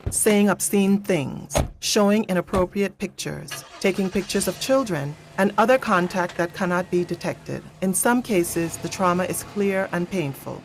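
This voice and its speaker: A woman playing a grave, measured narrator of a radio drama. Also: fairly low pitch, a little hiss or hum, close to an everyday voice. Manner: measured, solemn. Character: grave, all-knowing female narrator.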